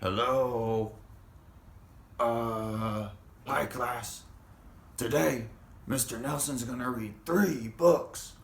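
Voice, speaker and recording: A man voicing an excitable, playful puppet character with a creaky voice and halting delivery in a children's class skit. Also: mid-range voice, roomy sound. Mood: playful, excited.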